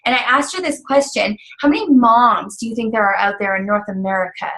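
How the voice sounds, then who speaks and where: A woman's voice: a little high, brisk, fairly bright tone. A woman talking casually and upbeat, explaining how a coaching business pays, with many fillers. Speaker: network marketing coach. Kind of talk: casual recruiting talk.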